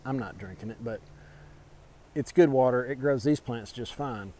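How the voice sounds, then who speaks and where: A man with a medium-pitched voice narrates an informal outdoor walk-through. He explains things casually, like a homestead vlogger talking to viewers. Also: moderate pace, warm tone. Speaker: homestead vlogger, channel host. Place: outdoors in a garden.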